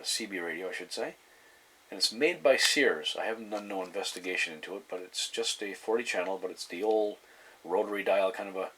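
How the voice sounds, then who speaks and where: A man talks off the cuff, like a collector showing an item to fellow hobbyists. His delivery is halting and wanders back over the same points. Faint background noise sits under the voice. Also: low-pitched, a bit bright. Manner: halting, meandering, repeats himself. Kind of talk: casual unscripted show-and-tell narration. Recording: clear background noise, room ambience.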